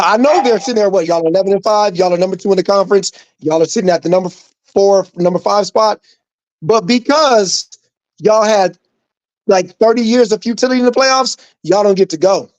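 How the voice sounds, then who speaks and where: A man with a fairly high voice, recorded dry and close, argues sports with emphatic, profane insistence. He hammers the same points again and again, from New Mexico by upbringing. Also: a little fast, a little mellow, no room sound, lo-fi sound. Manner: emphatic, profane, repeating himself. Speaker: co-host. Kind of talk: heated sports debate.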